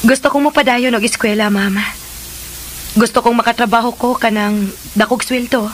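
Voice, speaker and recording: Actress as modest young cebuano shopgirl, high voice, flustered protesting manner, radio drama w/ slight hiss. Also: fairly even intonation, normal speed, natural voice. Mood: shy earnest. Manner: hesitant, protesting, exclaiming. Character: modest, dutiful young bakery salesgirl, shy of suitors. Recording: faint hiss and crackle.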